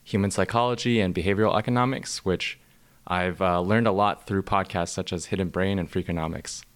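A man's voice: deep voice, steady tone, brisk. A young man from the tri-state area introducing himself on a podcast in an upbeat, tidy way. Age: young adult. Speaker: podcast producer.

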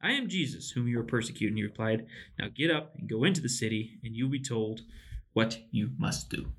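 A man's voice: medium pitch, lively intonation, brisk. Chatty man discussing scripture. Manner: laughs often, stammering restarts. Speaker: podcast co-host. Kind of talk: casual Bible discussion.

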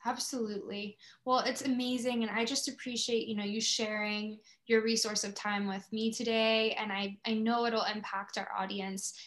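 A woman's voice: on the high side, flat delivery, moderate pace, few pauses, a bit bright. An American woman from Florida speaking as a podcast host in a casual, conversational interview. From Florida, United States.

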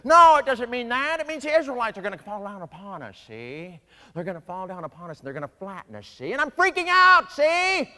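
Whiny gangster-style soldier, high, piercing, agitated, repetitive, comic sketch, long reverb. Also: male voice, sing-song, a little fast, pushed and bright, full character voice. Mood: panicked. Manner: repetitive, agitated, tags phrases with "see". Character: nervous, whiny Midianite soldier, old-time gangster talk. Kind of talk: comedic Bible story sketch.

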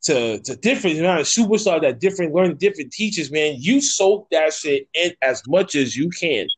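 Male podcast host, 30s, slightly higher pitch, casual, slangy, supportive and sweary. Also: animated, normal speed, breathy edge. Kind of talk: casual interview chat.

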